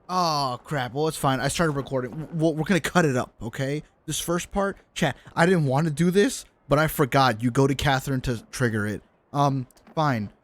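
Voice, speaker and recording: A game streamer giving casual, slangy live commentary, often grumbling and talking to chat. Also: mid-range voice.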